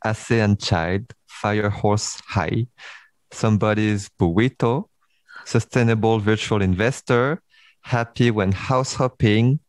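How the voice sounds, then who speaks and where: Male podcast host with a Vietnamese accent, lower voice, hesitant and filler-heavy; dry, close recording. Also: dry recording. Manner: hesitant, many fillers and restarts. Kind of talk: casual interview chat.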